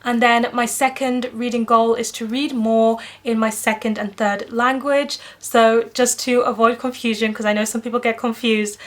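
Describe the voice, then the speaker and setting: A woman's voice: fairly high pitch, flat delivery, normal speed, hardly any pauses. A young woman chatting upbeat and casually to camera about her plans for the year, speaking freely with a light self-deprecating touch. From Netherlands. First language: English. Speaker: book vlogger. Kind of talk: casual vlog talk to camera.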